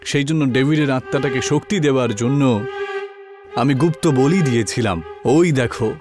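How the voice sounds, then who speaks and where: A man's voice: medium pitch, normal speed, over music, close to an everyday voice. A man voicing a stern, ominous priest, solemn and commanding, in a dramatic horror cartoon. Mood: sinister. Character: stern, ominous priest exorcising spirits, secretly vengeful.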